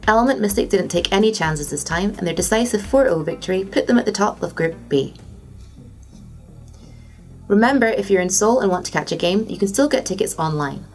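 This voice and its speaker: A woman's voice: medium pitch, lively intonation, a little fast, faint music behind. A woman presenter reading scripted gaming and esports news.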